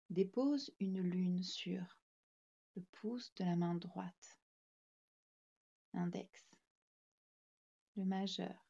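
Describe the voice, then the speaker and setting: A woman guiding a yoga nidra relaxation, speaking in calm, soothing, gently instructing short phrases. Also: mid-range voice, vocal fry, husky, highs cut off. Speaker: yoga nidra meditation guide. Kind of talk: guided relaxation meditation narration.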